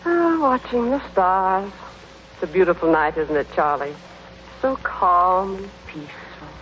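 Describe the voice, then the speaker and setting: An actress playing a genteel, long-suffering wife in an old radio comedy, on a muffled recording with light hiss. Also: female voice, on the low side, lively intonation, measured pace, fairly bright tone. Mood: placid. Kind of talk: 1930s-40s radio comedy acting.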